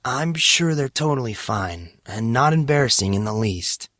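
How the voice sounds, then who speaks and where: A man voicing a perceptive, earnest teenage schoolboy in audiobook dialogue, speaking deliberately. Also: medium pitch, lively intonation, fairly bright tone, no room sound, clean studio sound, natural voice. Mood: playful teasing. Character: teenage schoolboy, perceptive, playful, hurt but kind.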